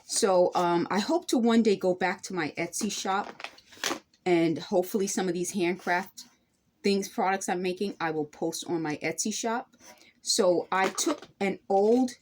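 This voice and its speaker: A woman's voice: medium pitch, measured pace, a little hiss or hum. A woman hosting a craft tutorial, chatting casually to viewers and rambling a little as she explains each step by hand. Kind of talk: casual hands-on demonstration, talking to viewers.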